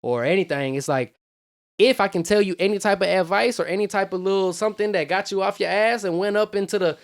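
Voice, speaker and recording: Guest artist, man from Costa Rica, casual rambling delivery, a touch high in pitch. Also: fast. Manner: rambling, repeats "you know what I'm saying". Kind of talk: casual podcast chat.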